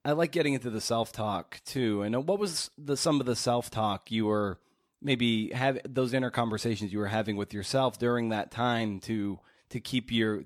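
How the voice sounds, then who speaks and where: An American man hosting a podcast interview, asking long questions in halting, searching phrases. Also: lowish voice, no echo, clean studio sound.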